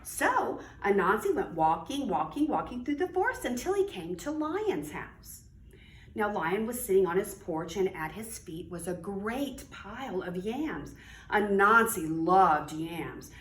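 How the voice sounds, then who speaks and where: Animated woman storyteller in a roomy space. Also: mid-range voice. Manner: emphatic, dramatic pauses and drawn-out key words. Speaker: library storyteller reading a picture book to children. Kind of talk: expressive children's read-aloud. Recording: roomy, distant mic with faint noise.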